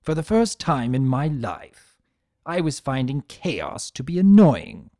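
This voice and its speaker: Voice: mid-range voice, sing-song, slow pace, warm tone, dry recording, clean studio sound. A narrator playing a sardonic male chaos spirit trapped as a statue, speaking in wry conversational asides in a cartoon pony story.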